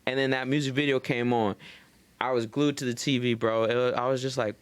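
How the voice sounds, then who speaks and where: A young American man in animated, slangy, sweary casual banter as a podcast co-host. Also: medium pitch, a little fast, clear, resonant tone, dry recording.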